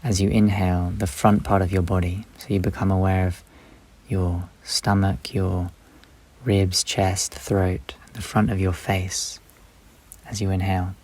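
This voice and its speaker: A man leading a guided meditation, speaking unhurriedly in short instructive phrases with long pauses between them. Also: low-pitched, flat delivery, darkish tone.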